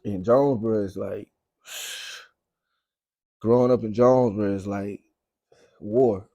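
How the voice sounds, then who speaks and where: A man's voice: on the low side, steady tone, takes it slowly, dark tone, dry recording, studio quality. A young man from Arkansas with a Southern US accent, talking casually as an interview guest in slang, repeating phrases for emphasis. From Arkansas, United States. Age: young adult. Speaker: guest, rapper.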